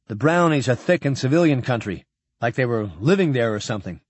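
A man's voice: mid-range voice, animated, brisk, no echo, lightly acted. Male reader doing a plainspoken marine officer, blunt, wary; dry booth.

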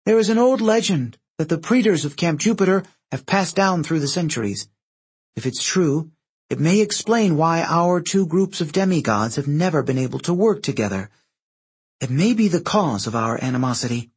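Casting: man performing proud young woman warrior-leader, audiobook dialogue. Formal, grave, intense delivery, slightly husky, pitched up. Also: lively intonation, moderate pace. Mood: grave, measured. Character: stern, proud young woman commander of Roman demigods.

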